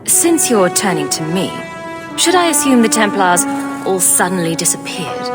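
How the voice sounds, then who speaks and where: Wry, defiant adventurer heroine played by an actress. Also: female voice, mid-range voice, very animated, normal speed, loud music behind the voice, natural voice. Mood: challenging. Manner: dry, sardonic. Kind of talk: video game voice acting.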